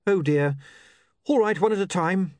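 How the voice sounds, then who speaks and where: A man voicing a mild, earnest young man with a polite, halting manner. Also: high-pitched, dry recording, studio quality, pitched above the performer's own voice, natural voice. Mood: bemused.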